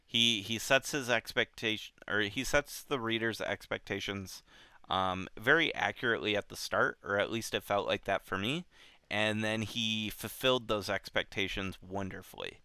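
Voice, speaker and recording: A man hosting a book club show, talking casually and unscripted in a halting way, often repeating himself. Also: fairly low pitch, bright, forceful tone, clear, resonant tone, dry recording.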